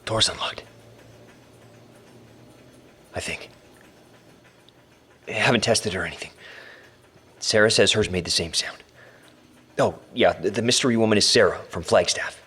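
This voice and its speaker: Low-voiced anxious young male captive. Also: sharp tone, breathy edge, exaggerated voice. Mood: wary. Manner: halting, muttering, narrating aloud to himself. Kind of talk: found-recording audio drama, spoken journal.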